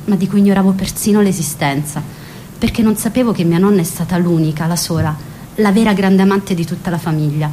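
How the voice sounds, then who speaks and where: A woman's voice: medium pitch, a little mellow, slight background noise, close to an everyday voice. A woman playing a wistful, passionate young woman in a scripted radio drama, speaking intimately and reflectively. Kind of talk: scripted radio drama monologue and letter reading.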